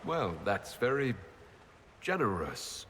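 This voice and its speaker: A wry young noble hero for a fantasy game, polite and gently teasing. The voice is mid-pitched with lively movement, over faint background noise. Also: male voice, slow pace. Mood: puzzled. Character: courteous, wry young male human noble leader. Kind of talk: video game cutscene acting.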